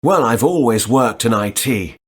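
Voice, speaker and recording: A man playing a job applicant in a scripted listening dialogue, answering questions in a relaxed way and thinking aloud. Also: mid-range voice, unhurried, breathy edge.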